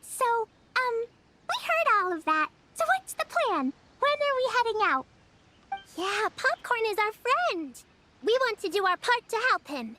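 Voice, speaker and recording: A woman's voice: very high voice, very animated, unhurried, bright, forceful tone, a little hiss or hum, full character voice. A woman voicing a small, chirpy and eager girl companion in a video game, with music behind her. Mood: eager, surprised.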